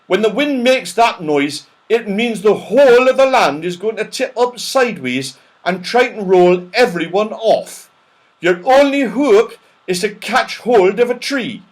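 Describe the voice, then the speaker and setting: A man voicing alarmed folk of a magical land in a children's storybook, shouting warnings. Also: high voice, expressive, measured pace, pitched above the performer's own voice.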